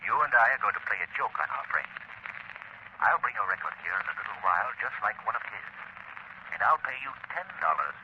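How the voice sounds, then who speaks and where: Sinister unseen avenger, sly and mocking, vintage radio drama, muffled noisy recording. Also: male voice, on the low side, sing-song, a little fast. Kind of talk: 1930s radio acting.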